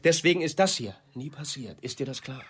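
A man's voice: a little high, lively intonation, fairly quick, a bit bright. A man acting in a radio drama as a cold, secretive man covering up a crime, curt and controlling. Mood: cold, controlled.

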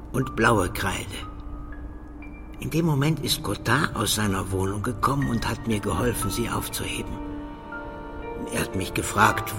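Low-voiced, meticulous modest man. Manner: careful, meticulous explaining. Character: earnest, modest, pedantic male clerkish neighbour. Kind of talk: radio drama acting.

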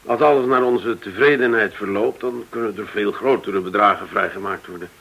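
An actor in a radio drama playing a reserved, businesslike client who speaks politely and a little guardedly. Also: male voice, on the low side, moderate pace, breathy edge, lo-fi sound. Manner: polite, guarded, slightly hesitant. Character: reserved, businesslike male client negotiating discreetly for partners.

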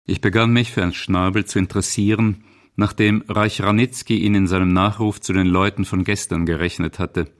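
A man's voice: low voice, fairly even intonation, moderate pace. A man reading scripted narration for a radio documentary as its author and narrator.

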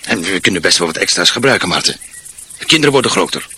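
A middle-aged family man in a radio play. His deep voice has a forceful edge and moves animatedly as he talks in a practical, reassuring way. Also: expressive, fast talker, slightly rough, exaggerated voice. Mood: casual, evasive. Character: practical, reassuring husband and father around forty. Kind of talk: naturalistic radio drama acting.

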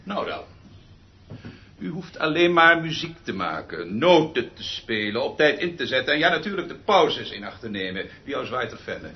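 An actor playing a pompous, exasperated, tyrannical perfectionist conductor in a radio play, emphatic and theatrical. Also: male voice, mid-range voice, big pitch swings, normal speed, natural voice. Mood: grave, obsessive. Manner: theatrical, emphatic, swinging from muttering to bellowing. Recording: muffled, noisy old radio play recording.

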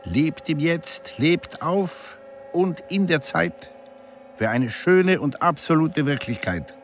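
A man's voice: on the high side, expressive, slow pace, a little hiss or hum. A man playing an avant-garde artist in an audio drama, declaiming a manifesto in short, emphatic phrases. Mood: exhortative.

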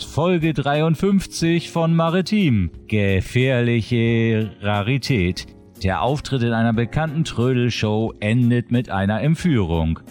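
Man, low pitch, scripted announcer narration, presenter role, faint background music. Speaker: presenter of a radio drama release roundup.

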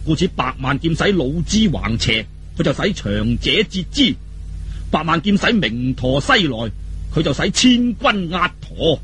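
Animated, high-pitched Cantonese male storyteller. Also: unhurried, slight background noise. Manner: animated, colloquial, dramatic telling with exclamations. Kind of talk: traditional Cantonese martial arts storytelling, colloquial narration.

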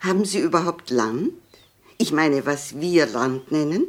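A woman's voice: low voice, lively intonation, unhurried. An older actress playing a snobbish, gossiping old English society lady in a 1960s German radio play, condescending and rambling. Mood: condescending, gossipy.

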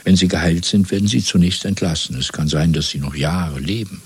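An actor playing a cold, fanatical interrogator in a radio play, deliberate and patronising, with a gravelly voice that often sinks to a whisper. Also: male voice, low-pitched, no room sound, heavily acted. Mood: cold domination. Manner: deliberate, patronising, swinging between soft and commanding. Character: cold, fanatical male Party interrogator and torturer. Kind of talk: 1970s German radio play acting.

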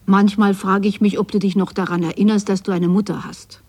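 A woman's voice: mid-range voice, level tone, brisk, darkish tone, slightly rough, natural voice. An actress playing a bitter, anxiously possessive mother in a radio drama, addressing her absent son in a plaintive, accusing way. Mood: reproachful longing. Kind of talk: literary radio drama monologue.

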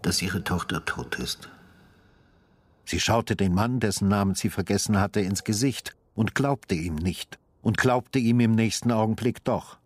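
A man narrating a literary radio drama in a sombre, measured and grave style. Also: lowish voice, darkish tone, no room sound.

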